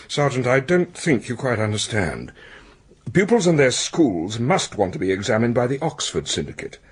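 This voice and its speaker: An actor playing a proud, formal British academic administrator in a radio drama, precise and stuffy with some hesitation. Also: male voice, medium pitch, very animated, a little slow, slightly rough. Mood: precise, explanatory. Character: proud, formal academic secretary of an exam board.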